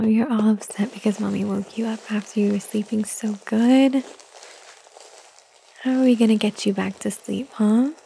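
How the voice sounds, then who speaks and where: A woman speaking in a soft, soothing ASMR whisper, slow and unhurried. Her voice has a mellow, darker tone with a gravelly fry running through it. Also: medium pitch, a little hiss or hum. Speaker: ASMR performer.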